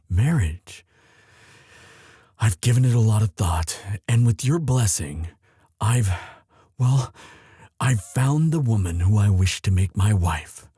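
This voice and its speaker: A man playing an earnest, lovestruck young prince in an audio drama, speaking formally and haltingly as he implores his father. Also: lowish voice, mellow, husky, no room sound, clean studio sound, heavily acted. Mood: nervous, respectful. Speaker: voice actor in romance audio roleplay. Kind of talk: dramatic one-sided audio roleplay.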